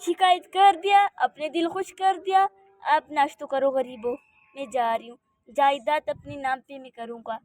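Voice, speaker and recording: A woman playing a spiteful, quick-tempered daughter-in-law who scolds and bosses others in a children's cartoon story. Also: sits high, steady tone, dry recording, soft background music, natural voice. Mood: contemptuous. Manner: scolding, bossy, insulting.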